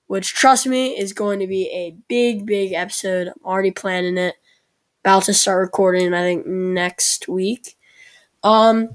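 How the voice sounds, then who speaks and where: A young woman hosts a casual podcast with a sore, congested throat. She talks in a chatty, halting way, stopping often on fillers as she introduces a guest. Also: medium pitch. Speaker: podcast host.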